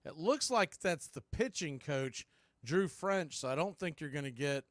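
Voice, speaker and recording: A man with a high-pitched voice calls a baseball game as a radio play-by-play announcer. The sound is dry and close, with no room echo. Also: animated, a little slow. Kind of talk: live sports radio play-by-play commentary.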